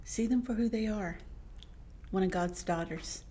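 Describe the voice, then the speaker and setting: A middle-aged or older woman with a soft voice, chatting from the heart in a gentle but firm, halting way. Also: mid-range voice, slight background noise. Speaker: guest, the host's mother. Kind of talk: casual heartfelt chat.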